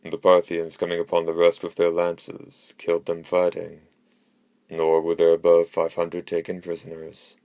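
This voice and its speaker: Male audiobook narrator, low and extremely even, measured delivery for classical history. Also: no echo.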